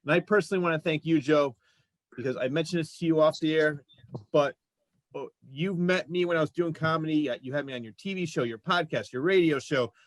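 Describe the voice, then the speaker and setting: A man's voice: a little high, fairly quick, dry recording. A man hosting a show, chatting casually as he interviews his guests. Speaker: show host.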